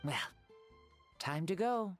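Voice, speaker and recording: A woman voicing a gentle, wistful ancient male hero with a youthful voice, speaking in a measured, formal way in a video game. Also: lowish voice, animated. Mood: serene, wistful. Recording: game audio under loud background music. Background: background music.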